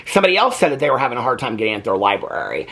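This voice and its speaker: A man's voice: mid-range voice, sing-song, rapid-fire, gravelly, sharp tone, slightly rough. A man chatting casually and unscripted to viewers as a book vlogger, rambling along with emphatic retellings and quoted asides. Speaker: book vlogger and book club host.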